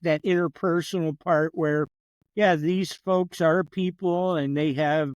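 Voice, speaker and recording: Higher-voiced older man telling stories. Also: fairly even intonation, measured pace, clean tone, no echo, lo-fi sound. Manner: reminiscing storyteller, chatty and wry. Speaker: professor and podcast guest. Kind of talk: casual conversational interview.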